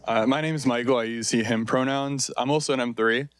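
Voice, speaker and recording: A man's voice: mid-range voice, steady tone, brisk, noisy background. Guy, medium voice, easygoing med student intro. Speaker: third-year medical student.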